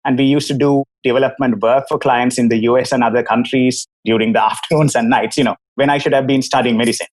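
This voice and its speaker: A man from Sri Lanka in his thirties speaks with a Sri Lankan accent. He tells his story as a podcast guest in a candid, wry way, at an average pitch. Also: steady tone, fast, hardly any pauses. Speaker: guest, app company founder.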